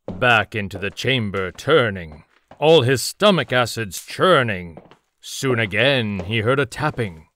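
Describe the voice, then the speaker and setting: Audio drama storyteller: solemn, theatrical gothic verse, low-pitched and unhurried. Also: male voice, background noise. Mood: solemn, foreboding. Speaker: narrator.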